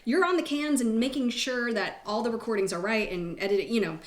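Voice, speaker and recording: A woman's voice: fairly high pitch, brisk. A woman talking casually and conversationally as a panel guest. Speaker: voice actor on a panel. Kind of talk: casual chat. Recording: echoey room.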